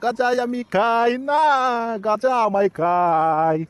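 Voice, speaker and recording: A man's voice: sits high, lively intonation, takes it slowly, smooth. A man voicing a boastful, grumbling small-town stoner uncle in a comic cartoon, with a northern Bangladeshi accent. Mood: sing-song. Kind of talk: comic cartoon dialogue in rural dialect.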